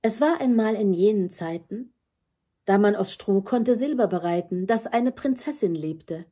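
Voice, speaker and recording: An older woman reads a children's fairy tale at home. Her voice is mellow and breathy, of middling pitch, and her delivery is expressive. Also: measured pace. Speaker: storyteller. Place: room with bookshelves at home.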